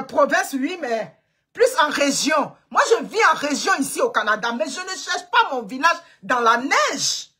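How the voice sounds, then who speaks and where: A woman's voice: sits high, bright, forceful tone. A woman from Côte d'Ivoire delivering an emphatic, repetitive political monologue, lecturing her audience and hammering her points home. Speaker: online commentator. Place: home in Canada.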